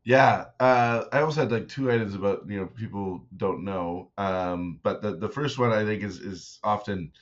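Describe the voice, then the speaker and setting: A man from Saskatchewan, an interview guest, talking in a relaxed, reflective way with many fillers. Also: lowish voice, moderate pace, few pauses. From Saskatchewan, Canada. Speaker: guest, startup investor. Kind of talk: casual interview conversation. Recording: dull, lo-fi remote audio.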